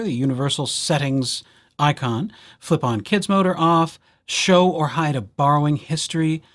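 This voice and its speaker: A man presenting a casual software tutorial as a library host, with playful, wry asides. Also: mid-range voice. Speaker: library presenter hosting a digital services tutorial.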